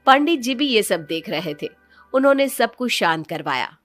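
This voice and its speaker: A woman's voice: a little high, big pitch swings, moderate pace, fairly bright tone. A woman narrating a scripted moral story as a storyteller. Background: background music.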